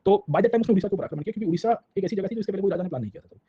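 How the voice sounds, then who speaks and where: Indian male lecturer, rapid-fire and raspy, conversational classroom delivery full of check-in questions, on dull lo-fi audio. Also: sits high, dark tone, no room sound. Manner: conversational, peppered with rhetorical check-in questions and tag words; mixes Hindi and English. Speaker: history teacher.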